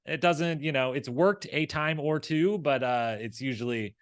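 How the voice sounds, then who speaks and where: A man's voice: on the high side, normal speed, clear, resonant tone, no room sound. Casual male co-host, dry close sound. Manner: rambling, acts out imagined dialogue. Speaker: recap show co-host. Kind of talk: casual, conversational episode commentary.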